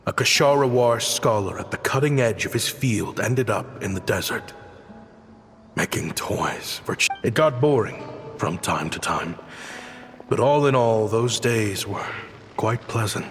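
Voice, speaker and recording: A man voicing a regretful former scholar turned desert inventor, telling his story in a measured, reflective way for a video game. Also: lowish voice, lively intonation. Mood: wistful, nostalgic. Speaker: story narrator and character. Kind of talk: scripted video game narration and dialogue. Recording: long reverb, music behind. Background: music.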